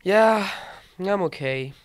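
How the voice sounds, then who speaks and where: A young man playing a laid-back, deadpan prankster in an amateur comedy sketch. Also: sits high, slow, a little hiss or hum.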